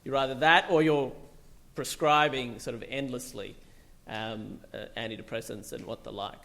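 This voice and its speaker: A man's voice: mid-range voice, steady tone, normal speed, vocal fry, fairly bright tone. A middle-aged man from Bangladesh, a psychiatrist, giving a loose, conversational public talk full of fillers and restarts.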